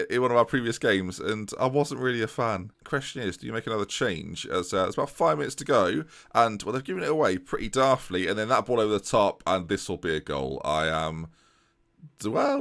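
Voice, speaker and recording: A young man giving chatty, excitable commentary over a video game, often tripping over his words and joking at himself. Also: mid-range voice, vocal fry. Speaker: gaming YouTuber. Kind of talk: casual let's-play commentary.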